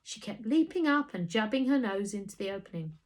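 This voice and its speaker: A woman reading a children's story aloud in an animated way, with dramatic emphasis. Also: medium pitch, fairly quick. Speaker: storybook reader. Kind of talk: expressive scripted children's story narration.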